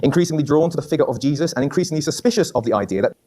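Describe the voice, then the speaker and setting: A man's voice: fairly high pitch, expressive, very fast, darkish tone. A man moderating a formal debate event, introducing the speakers in an announcing, ceremonial way.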